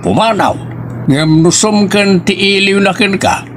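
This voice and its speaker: A man's voice: high-pitched, measured pace, slight background noise. A man playing an opinionated older father who goads his married son in a family audio drama. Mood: goading. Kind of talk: Ilocano family radio-style drama acting.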